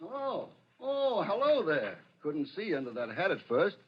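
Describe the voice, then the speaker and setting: An actor playing a friendly, easygoing old shopkeeper in a 1940s radio drama. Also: male voice, high voice, huge pitch swings, unhurried, breathy edge, full character voice. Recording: muffled old radio recording.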